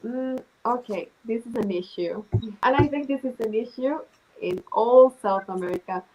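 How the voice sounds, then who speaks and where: A woman in her twenties from Chile, answering interview questions in a relaxed, chatty way with lots of fillers. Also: fairly high pitch, moderate pace, slight background noise, low-quality recording. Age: 20s. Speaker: guest.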